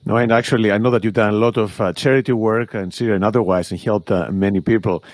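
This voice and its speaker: A man's voice: lowish voice, steady tone, fast, darkish tone, no echo. A man hosting a podcast, agreeing with his guest and asking follow-up questions. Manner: agreeable, supportive interviewer. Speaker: podcast host. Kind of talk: casual interview questions.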